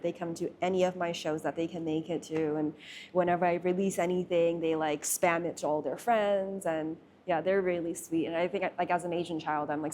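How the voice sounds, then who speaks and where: A young woman who grew up in Taiwan talks easily and casually, with plenty of filler words. Her voice sits fairly low. A faint ambient hum runs under her speech. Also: darkish tone. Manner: chatty, uses many fillers. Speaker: sound artist guest. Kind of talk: casual conversation.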